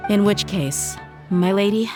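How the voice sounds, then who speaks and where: A woman's voice: medium pitch, a little slow, over music, natural voice. An actress playing a young mercenary woman who speaks directly and earnestly, firm in her stance. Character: young adult woman mercenary, blunt and loyal. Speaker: mercenary bodyguard. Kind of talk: game cutscene voice acting.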